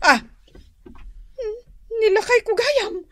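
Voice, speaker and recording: A woman's voice: extremely high pitch, animated, takes it slowly, full character voice. A woman playing a touchy, quarrelsome village wife in a comedic Ilocano radio drama, exclaiming and complaining. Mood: indignant, bossy.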